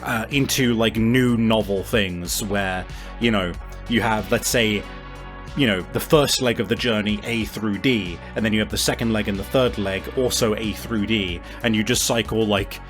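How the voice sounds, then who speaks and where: A man narrating a tabletop game as game master, conversational and halting, with deliberate pauses. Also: on the low side, fairly bright tone. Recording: music playing behind. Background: background music.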